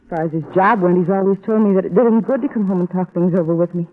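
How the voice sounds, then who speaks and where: A woman's voice: lowish voice, close to an everyday voice. A woman playing a worried, hesitant wife of a missing detective in an old radio drama. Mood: troubled, hesitant. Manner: hesitant, halting. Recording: muffled old radio recording.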